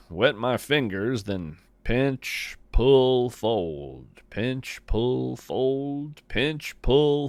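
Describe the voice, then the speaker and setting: Male podcast narrator, folksy and poetic, unhurried delivery, very close dry sound. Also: mid-range voice, no echo. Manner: folksy, musing, poetic, deliberate phrasing. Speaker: podcast host. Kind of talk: scripted first-person narration in a fiction nature podcast.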